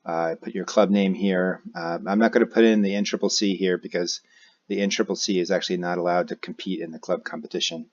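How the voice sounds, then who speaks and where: An American man giving an unscripted software walkthrough, hesitantly thinking aloud as he goes. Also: sits low, steady tone, fairly quick, lo-fi sound. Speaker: tutorial presenter, amateur radio operator. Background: keyboard typing and mouse clicks.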